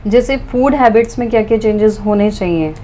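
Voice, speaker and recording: A woman in her forties interviewing a doctor, talking in an animated, chatty way and switching freely between Hindi and English. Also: medium pitch, a little fast, a little hiss or hum. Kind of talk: podcast interview, casual chat.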